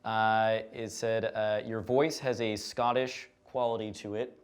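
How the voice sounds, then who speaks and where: A man's voice: fairly low pitch, flat delivery, measured pace, clean tone. Teasing man hosting a live Q&A. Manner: teasing, laughing, reads out questions. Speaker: Q&A host. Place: concert venue stage.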